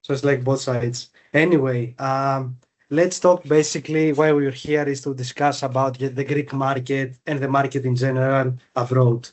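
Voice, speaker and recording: A man's voice: medium pitch, fairly even intonation, normal speed. A man hosting an interview, asking long, loose questions full of fillers. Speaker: podcast host, recruiter. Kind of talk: interview questions, casual chat.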